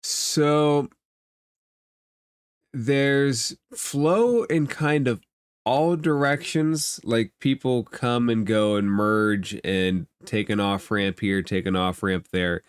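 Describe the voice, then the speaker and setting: A man's voice: medium pitch, slow pace, clear, resonant tone, dry recording, studio quality. A man working as game master, narrating a tabletop game off the cuff in a conversational, explanatory way.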